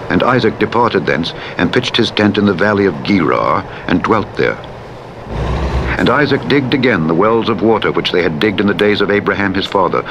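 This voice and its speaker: A man narrating the Bible as a scripted reading, in a solemn, measured manner. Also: sits low. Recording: loud truck engine noise over playback. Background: virtual truck driving sounds from a simulator game.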